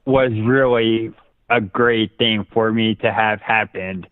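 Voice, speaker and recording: A man's voice: fairly low pitch, fairly even intonation, takes it slowly, dry recording. A man chatting casually as a regular caller phoning in to a talk show. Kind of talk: casual phone call-in chat. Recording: dry, narrow phone line.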